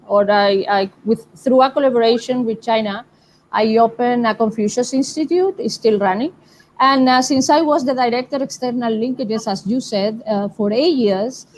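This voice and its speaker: A woman guest answering interview questions in an earnest, measured way, switching between languages at times. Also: fairly high pitch, a little mellow, slight background noise, low-quality recording. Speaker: guest, linguistics professor.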